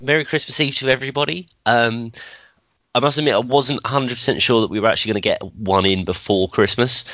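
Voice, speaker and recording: A man's voice: mid-range voice, quick pace, sharp tone, no echo. A man hosting a casual podcast, chatty and laughing often, a bit nasal from a cold. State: has a cold, slightly nasal. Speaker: podcast host.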